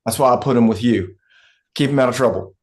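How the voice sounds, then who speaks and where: A man playing a weary, wry, gruff middle-aged father in a screenplay table read. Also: medium pitch, fast talker, lightly acted. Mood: irritated.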